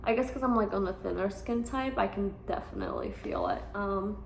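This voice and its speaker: Chatty young woman with gravelly fry. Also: medium pitch, a little fast. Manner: rambling, chatty, heavy use of fillers. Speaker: vlogger. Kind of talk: casual chatty vlog update. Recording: echoey room with faint noise.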